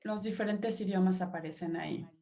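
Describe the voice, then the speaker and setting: A woman working as a simultaneous interpreter, giving a steady, measured relay of a live online welcome over a video call. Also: mid-range voice. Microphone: computer mic on a video call.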